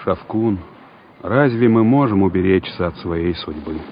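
An actor playing a resolute, comradely army officer in a radio war drama. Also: male voice, low voice, lively intonation, measured pace. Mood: gentle, reassuring. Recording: muffled lo-fi, battle noise behind.

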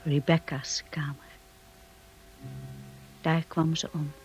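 An actress playing a devoted, wistful young wife in a radio drama, speaking in a measured and solemn way. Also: female voice, low-pitched, level tone, darkish tone, muffled recording, faint music behind. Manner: slow, measured, solemn delivery. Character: Mrs de Winter, devoted young wife looking back wistfully. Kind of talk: radio drama acting and narration.

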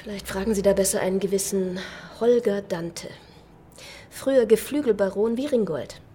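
An actress playing a sharp-tongued, sardonic animal-rights activist in a German radio crime drama. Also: female voice, medium pitch, normal speed, breathy edge, lightly acted. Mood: scornful. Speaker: activist and café owner.

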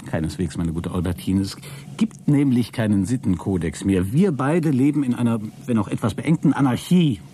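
Male actor for smug, lecherous male astronaut, radio satire. Grandiloquent, wheedling, very animated pitch, some background noise. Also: moderate pace, close to an everyday voice. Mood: smug, teasing. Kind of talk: satirical science-fiction radio drama acting.

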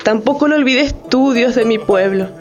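An actress voices an aggrieved woman in radio theatre. Her high voice recites dramatically and denounces destruction. Music plays clearly behind her. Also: normal speed, lo-fi sound, close to an everyday voice. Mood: anguished, accusing.

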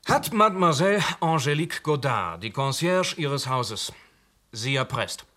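A formal, courteous police inspector in a radio drama who stays firm behind the politeness. His voice has a bright, forceful edge. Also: mid-range voice, sing-song, unhurried. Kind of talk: radio play acting.

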